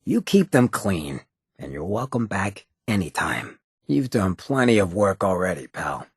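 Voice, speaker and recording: A swaggering undead outlaw leader for a video game, with a badly rasped, gravelly voice. He talks in a sly streetwise drawl that swings lively up and down, close and dry. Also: low voice, measured pace, dry recording, full character voice. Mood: quietly menacing. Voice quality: gravelly, raspy. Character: charismatic irradiated ghoul mayor, roguish, swaggering outlaw leader. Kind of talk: video game companion dialogue.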